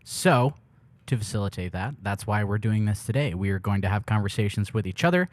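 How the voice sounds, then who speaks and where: A man's voice: fairly low pitch, expressive, a little fast, dry recording. A man co-hosting a podcast in easygoing, casual chat. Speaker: podcast co-host.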